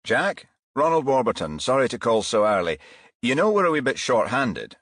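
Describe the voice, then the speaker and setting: A man voicing a businesslike senior police chief inspector with a measured, official manner in an audiobook. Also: mid-range voice, big pitch swings, a bit bright, no room sound, studio quality, voice pushed up. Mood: grave, businesslike. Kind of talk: audiobook character voice.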